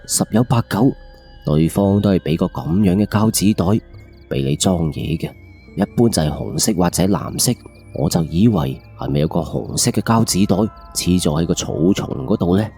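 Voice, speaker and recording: A man's voice: low voice, lively intonation, moderate pace, dark tone, soft background music. A man with a Cantonese accent narrating a first-person ghost story in a colloquial, suspenseful late-night radio style.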